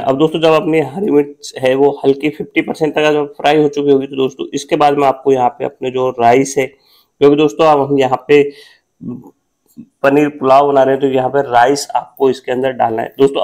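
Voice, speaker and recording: A man, hosting a cooking channel and talking through a recipe step by step in a plain instructional voice-over. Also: mid-range voice. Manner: flat, repetitive instructional tone.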